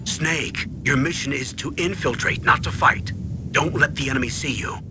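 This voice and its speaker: A man's voice: medium pitch, moderate pace, heavy background noise, natural voice. An actor playing a stern, authoritative older male colonel giving instructions in a video game. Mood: firm, instructive. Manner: commanding, instructive.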